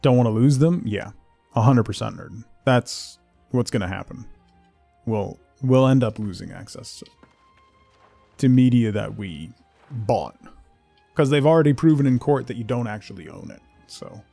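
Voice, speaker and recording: A man's voice: mid-range voice, animated, moderate pace, gravelly, long pauses, mellow, no echo, faint music behind. A middle-aged man streaming a game, chatting casually with viewers and muttering to himself in between. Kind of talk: casual chat while playing a game, replying to viewers.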